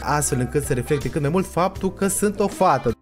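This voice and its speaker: A man's voice: fairly high pitch, animated. A young man talking casually as a gaming YouTuber, close on a desk microphone. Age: young adult. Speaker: YouTube host and gamer. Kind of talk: casual gaming vlog commentary. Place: small room indoors. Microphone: close desk microphone on a boom arm. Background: music.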